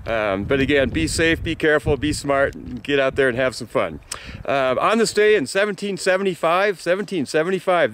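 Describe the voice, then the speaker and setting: A man's voice: medium pitch, slight background noise. An American man in his sixties giving a casual local weather and outdoor report, chatty and rambling as he reels off facts. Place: outdoors in an open meadow by trees.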